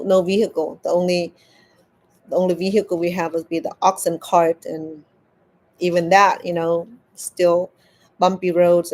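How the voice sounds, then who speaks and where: Woman telling a story haltingly. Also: on the low side, darkish tone. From Cambodia. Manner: halting, repeats words as she searches for them. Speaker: crochet vlogger. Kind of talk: casual storytelling while crafting.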